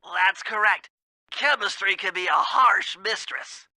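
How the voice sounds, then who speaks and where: A man's voice: high voice, slow, pushed and bright, dry recording, full character voice. A man voicing a brainy, anxious animal gadget expert in a cartoon video game, nasal and precise in formal technical phrasing. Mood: matter-of-fact, precise.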